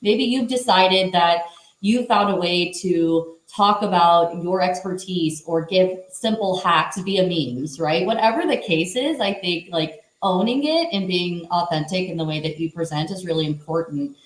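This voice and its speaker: A woman with a fairly low voice speaks fluently on a panel, explaining points and checking in with the listener. Her voice carries a noticeable room echo. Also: a little slow. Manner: fluent, explanatory, often adds a questioning "right?". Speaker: panelist, former teacher. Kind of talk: conversational panel talk. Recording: roomy echo.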